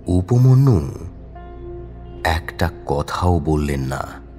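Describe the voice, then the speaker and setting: A man's voice: extremely low pitch, big pitch swings, extremely slow, darkish tone, soft background music. A man narrating a crime audio story in a dramatic, scripted storytelling style.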